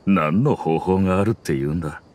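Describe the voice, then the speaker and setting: A man's voice: sits low, fairly quick, darkish tone, slight background noise, lightly acted. An actor voicing a bitter, resolute male mercenary veteran in a game dub, recounting events earnestly.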